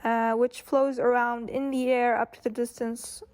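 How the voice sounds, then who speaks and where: A woman's voice: on the high side, level tone, measured pace, darkish tone. A woman giving a scripted technical presentation as a student.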